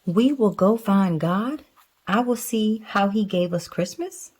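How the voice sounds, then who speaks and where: A woman voicing a curious, eager little bear cub from a storybook, full of excitable questions. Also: medium pitch, takes it slowly, pitched above the performer's own voice.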